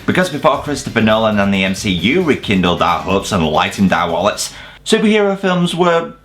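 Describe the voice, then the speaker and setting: A man's voice: on the low side, very animated, a little fast, fairly bright tone, a little hiss or hum. A British man hosting a video review, delivering scripted comedic countdown narration in a sarcastic, sweary, exaggerated way. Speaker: host of a video review channel.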